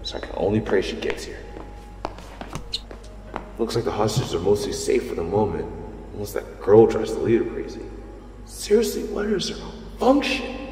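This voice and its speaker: A man's voice: on the low side, wildly swooping pitch, moderate pace, pauses often, darkish tone, a little hiss or hum. A man playing a thrill-seeking secret superhero who narrates in first person in an audio drama. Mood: worried, hushed. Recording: echoey reverb under background music.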